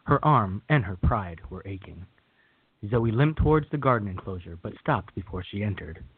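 Male narrator for radio theater, lowish and husky, scripted read, close and dry. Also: lively intonation, normal speed, no echo. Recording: tinny, phone-like narrow band.

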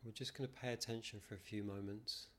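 A man leading a guided meditation as a teacher, calm and measured, leaving long pauses. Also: fairly low pitch. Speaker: meditation teacher, podcast guest. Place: non-soundproofed room.

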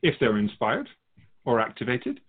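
A man playing a patient, lofty spirit guide who teaches in measured, formal phrases in a scripted audio drama. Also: mid-range voice, wildly swooping pitch, narrow, tinny audio. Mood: patient, instructive. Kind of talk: scripted dialogue in a fiction podcast.

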